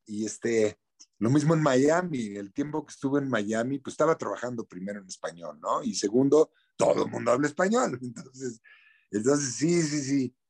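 A middle-aged man with a Mexican accent talks frankly in an interview. His voice sits at an ordinary middle pitch. He drops in slang and loops on repeated words while he hunts for the right phrase. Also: expressive, moderate pace, darkish tone. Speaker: actor, interview guest. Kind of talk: casual interview.

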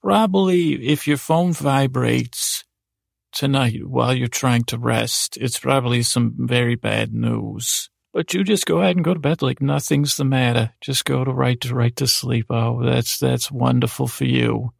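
Male performer doing a nagging grandmother, creaky voice, guilt-tripping, falsely concerned, foreboding, comic monologue character. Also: medium pitch, steady tone, unhurried, no room sound, clean studio sound. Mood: mock concern, foreboding. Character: nagging, passive-aggressive grandmother as an anxious inner voice.

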